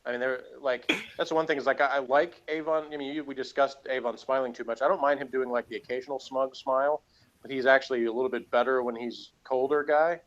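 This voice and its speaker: A man chats casually as co-host of a review show. He rambles through his opinions with a sardonic edge. His mid-pitched voice comes through a muffled, low-fidelity connection. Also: steady tone, fast talker.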